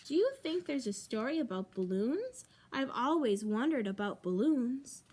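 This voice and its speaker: A curious, unsure porcupine from a children's storybook , as a woman reader puts it on. The voice is high and swoops up and down, questioning and hesitant. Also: big pitch swings, moderate pace, a little mellow, breathy edge. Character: prickly porcupine, doubtful but curious, storybook animal.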